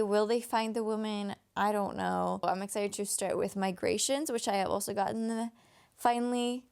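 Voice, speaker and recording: A tired woman with a raspy voice, a Danish native speaker from Denmark, chatting through a casual book review with lots of fillers and restarts. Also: mid-range voice, darkish tone. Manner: rambling, many fillers and restarts. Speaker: book vlogger.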